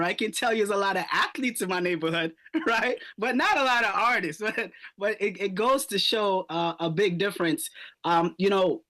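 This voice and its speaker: An upbeat man hosts a radio interview, his voice high for a man. He trips over and repeats words as he eases into each question. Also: fairly bright tone. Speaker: radio show host.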